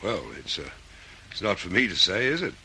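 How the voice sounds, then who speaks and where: A man's voice: fairly low pitch, very animated, a little fast, bright, forceful tone, slightly rough, full character voice, poor audio. A man playing a flustered, indignant but polite factory manager in an old radio drama, fussy and a little hesitant. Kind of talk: 1940s radio drama acting. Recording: vintage radio recording, faint noise.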